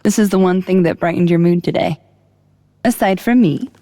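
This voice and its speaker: A woman acting a playful, affectionate friend in a story game. Her voice is mellow and lowish, easygoing, ringing slightly in a hall. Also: normal speed, lightly acted. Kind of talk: naturalistic video game voice acting.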